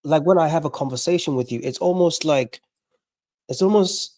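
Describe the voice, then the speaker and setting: Hesitant man with a mellow voice. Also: fairly high pitch. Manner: halting, hesitant, stacks filler words. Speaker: guest. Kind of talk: casual live discussion.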